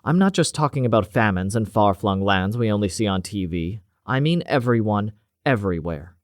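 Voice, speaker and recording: A man's voice: lowish voice, expressive, normal speed, no echo, studio quality, close to an everyday voice. A man playing a stocky, bullying middle-aged woman scientist, indignant and lecturing.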